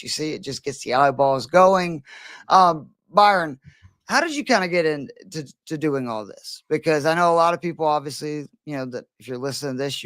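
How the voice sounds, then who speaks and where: A man's voice: a little high, a bit bright, no echo. A man hosting a golf betting podcast, chatting casually with joking, profane banter. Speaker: podcast host.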